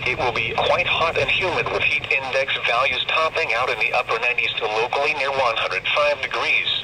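Synthetic man's voice, weather radio announcer, medium pitch, measured formulaic reading, handheld radio speaker, clearly noisy car recording. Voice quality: synthetic text-to-speech voice. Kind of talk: scripted automated weather broadcast.

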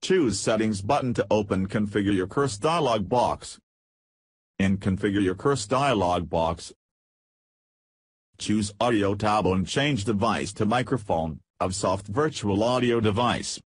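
A man with a low, husky voice narrates a software tutorial from a script. The sound is very dry and close, with no room around it. He delivers it as step-by-step instruction. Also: expressive, a little slow, dry recording.

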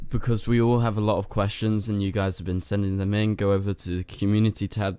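Hoarse low-voiced man chatting. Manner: chatty, rambling, talks straight to viewers. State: lost his voice. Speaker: channel host. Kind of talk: casual commentary to camera. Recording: soft music underneath.

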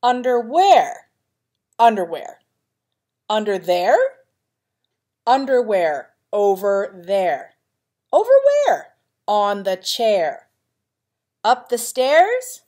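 A woman's voice: mid-range voice, sing-song, very slow, pauses often, a little mellow. A woman reading a children's picture book aloud in a playful, dramatic manner, with chatty asides to young listeners. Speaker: elementary school vice principal reading to pupils.

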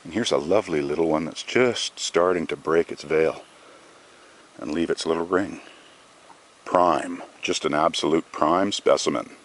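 Deep-voiced guy rambling about his finds outdoors in the woods, lots of ums, camera held close. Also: measured pace. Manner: relaxed, rambling, many ums and restarts. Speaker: foraging vlogger. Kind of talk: casual show-and-tell narration. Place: outdoors in a shady forest.

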